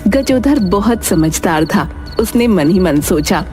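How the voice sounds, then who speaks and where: A woman's voice: mid-range voice, lively intonation, takes it slowly, darkish tone, faint music behind. A woman narrator reading a scripted moral tale in a storytelling style.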